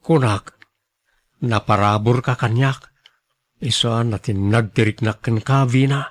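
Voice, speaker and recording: A man's voice: medium pitch, fairly even intonation, unhurried, dry recording, natural voice. A man acting a shy, hesitant young driver in a radio drama, stammering bashfully.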